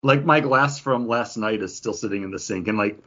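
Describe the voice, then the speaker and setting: A man's voice: lowish voice, fairly quick, lo-fi sound, background noise. A man co-hosting a podcast in loose, casual conversation. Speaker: podcast co-host. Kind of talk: casual chat.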